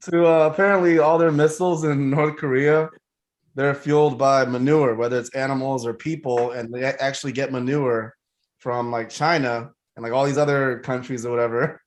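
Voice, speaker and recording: A man's voice: mid-range voice, fairly even intonation, normal speed. A man on a video call, casually telling a jokey story in a laid-back way.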